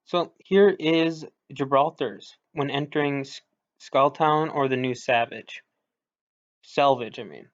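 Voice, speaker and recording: A man giving informal, slightly hesitant explanatory commentary on video game voice lines, thinking aloud as he goes. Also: mid-range voice. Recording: dull lo-fi recording.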